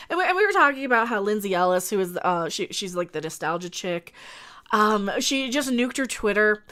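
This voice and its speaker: A woman with a high, bright voice talks loosely to her live chat, sniffly throughout. She rambles and restarts her sentences, blunt and casual. Her voice comes through clean and smooth. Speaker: online commentator hosting a live stream.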